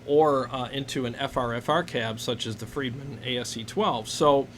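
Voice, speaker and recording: A man's voice: mid-range voice, normal speed, a bit bright. A man hosting a casual live chat, talking in a jokey, enthusiastic way. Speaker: host of a live gear Q&A stream. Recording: noisy live stream recording.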